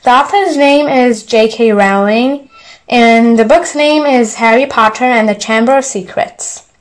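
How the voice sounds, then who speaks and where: Very slow, high-voiced woman storyteller. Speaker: storyteller, channel host. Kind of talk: read-aloud bedtime story narration.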